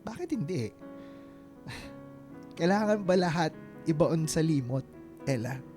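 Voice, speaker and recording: A mellow, fairly high-voiced Filipino man in a radio romance. He is an earnest, devoted suitor who speaks softly pleading, halting lines. Music plays faintly behind him. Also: animated. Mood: wistful. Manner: pleading, halting, stumbles over words. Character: earnest, devoted man gently courting his former girlfriend. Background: background music.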